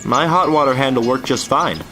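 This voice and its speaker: A man's voice: mid-range voice, fairly quick, lightly acted, low-quality recording. A young man playing a laid-back, agreeable teenage boy in an amateur audio drama. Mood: casual, grossed out. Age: young adult. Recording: music behind the dialogue.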